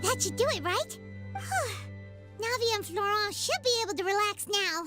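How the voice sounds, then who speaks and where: A woman voicing a tiny, childlike, excitable fairy companion with a squeaky manner in a video game. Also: moderate pace, over music. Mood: relieved, excited. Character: tiny childlike female fairy companion, excitable, timid, talks in third person. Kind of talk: cartoonish video game voice acting.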